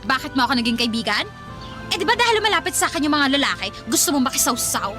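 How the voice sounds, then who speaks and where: Casting: petty high school girl, very high voice, swooping and indignant, radio drama over music. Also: very animated, fast. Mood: spiteful. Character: defensive, petty teenage girl, a backbiting high school friend.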